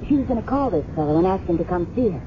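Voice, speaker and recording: A woman's voice: lowish voice, lively intonation, very fast, close to an everyday voice. An American actress playing a shaken, candid roommate of a murdered woman in a 1970s radio drama, confiding hesitantly. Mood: troubled, recalling. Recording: muffled, noisy 1970s radio broadcast recording.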